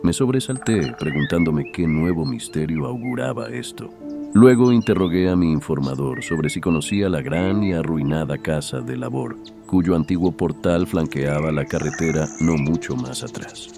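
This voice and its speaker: Deep voiced guy doing dramatic horror audiobook narration, music in the back. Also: animated, moderate pace, vocal fry. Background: background music.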